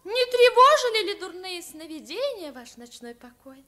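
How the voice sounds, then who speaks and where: A woman's voice: very high voice, sing-song, normal speed, bright, forceful tone, full character voice. An actress playing a sweet, courtly young noble girl with sing-song politeness in an old radio drama. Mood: polite. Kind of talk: Soviet 1950s radio play acting.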